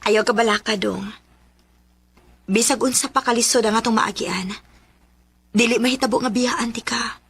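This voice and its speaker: High, bright young sweetheart. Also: female voice, fairly even intonation, moderate pace, creaky, long pauses, slightly rough, exaggerated voice. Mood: reassuring. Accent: Cebuano. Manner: coy, tender. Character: coy young sweetheart turned devoted, reassuring wife. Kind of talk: Philippine radio melodrama acting.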